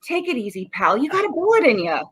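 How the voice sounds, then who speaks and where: A woman's voice: sits high, sing-song, quick pace, husky, full character voice. A woman playing a plain-spoken, placating police detective in 1940s radio style, with folksy, slangy, pleading delivery.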